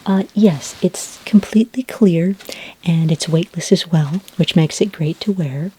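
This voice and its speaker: A woman playing a calm, helpful sci-fi skin care consultant in a soft-spoken ASMR roleplay, gentle and reassuring, often close to a whisper. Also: fairly low pitch, a little slow, slight background noise, natural voice, low-quality recording. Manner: gentle, reassuring, hesitant and informal explaining.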